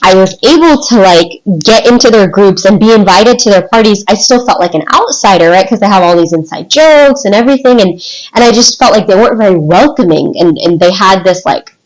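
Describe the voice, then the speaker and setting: A woman in her twenties with an American accent, telling personal stories in an informal, animated, rambling way as a podcast guest. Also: medium pitch, a little fast, rough recording. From United States. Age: 20s. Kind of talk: casual podcast chat, personal storytelling.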